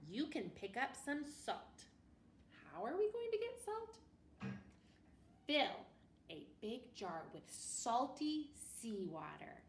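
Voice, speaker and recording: Animated woman reading aloud, slow and roomy. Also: medium pitch. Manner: animated, expressive, pausing to ask the listeners questions. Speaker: elementary school teacher. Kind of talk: picture book read-aloud for children. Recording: roomy, distant mic with faint noise.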